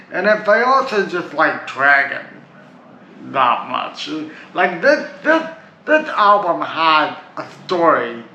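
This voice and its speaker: Canadian dude rambling about albums, bright slow talk, dull echoey lo-fi room sound. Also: male voice, a little high, a little hiss or hum. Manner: rambling, chatty, restarts with "like" and "uh". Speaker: music reviewer. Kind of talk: casual video review.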